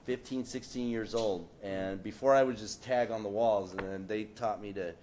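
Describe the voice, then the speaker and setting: A man from San Diego speaking as an artist on a panel, telling animated anecdotes with frequent restarts and asides. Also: fairly low pitch, moderate pace, a little hiss or hum. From San Diego, United States.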